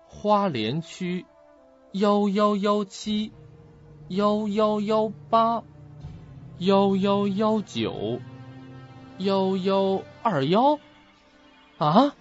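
A man's voice: fairly high pitch, very animated, a little slow, pauses often, darkish tone. An actor playing a troubled, protective young male student in an audio drama, on a lo-fi recording. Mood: alarmed. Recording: dull lo-fi recording with music.

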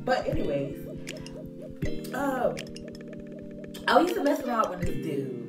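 A young woman from Florida telling a storytime to the camera, animated and slangy, talking straight to her viewers. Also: fairly high pitch, slow, distant mic. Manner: animated, gestures a lot, slangy asides to viewers. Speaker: vlogger. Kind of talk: casual storytime. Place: small bathroom indoors. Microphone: phone on a stand, mid-distance. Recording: echoey bathroom, off-mic, faint music.

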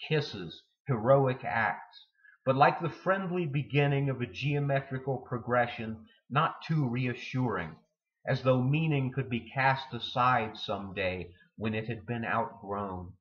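A man in his forties reading poetry aloud in a measured, literary way, pausing between phrases. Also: medium pitch, highs cut off. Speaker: poetry reader. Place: indoor room with bookshelves.

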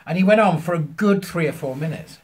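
A British man in his fifties telling an anecdote to camera, emphatically acting out both sides of a conversation in a husky voice. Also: fairly high pitch, lively intonation, fairly quick. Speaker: vlogger. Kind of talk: animated anecdote told to camera. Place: small furnished room at home, indoors.

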